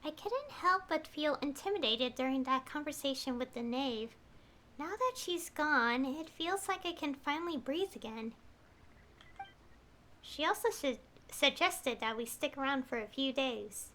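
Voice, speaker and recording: A woman's voice: sits high, animated, a little slow, sharp tone. A woman streamer reading a video game character's dialogue aloud in an acted read. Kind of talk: voicing game lines on a livestream.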